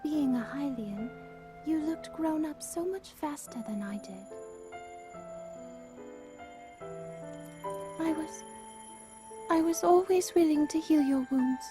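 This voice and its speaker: A woman playing a tender, devoted young healer princess in a video game cutscene, speaking softly and deliberately. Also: high voice, lively intonation. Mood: tender, nostalgic. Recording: prominent music under the voice.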